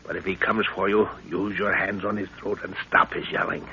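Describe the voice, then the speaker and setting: An actor playing a middle-aged, seasoned jungle adventurer, fatherly and commanding, with measured instructions in a 1930s radio drama. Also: sits low, level tone, pushed and bright. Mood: instructing. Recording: noisy dull 1930s radio recording.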